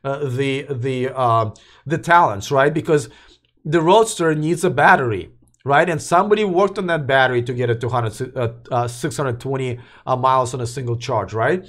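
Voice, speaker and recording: A man hosting a live electric car news stream, chatting casually with viewers while his voice is going hoarse and he keeps coughing. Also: medium pitch, normal speed, fairly bright tone. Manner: rambling, restarts, addresses live chat.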